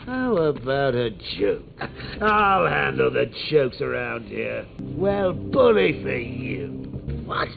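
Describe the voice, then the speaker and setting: A sneering clown villain with a gravelly, cackling voice. His pitch bounces wildly as he talks, unhurried. It sounds thin and phone-like, with music playing behind. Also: full character voice. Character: cruel, joking grown man clown criminal. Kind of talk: video game voice acting.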